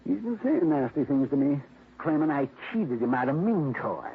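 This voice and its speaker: An actor playing an excitable, cranky father and doting dog owner, blustery and exclaiming, in 1950s radio drama style. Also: on the high side, moderate pace, gravelly. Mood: indignant, blustery. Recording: thin phone-like vintage radio, faint noise.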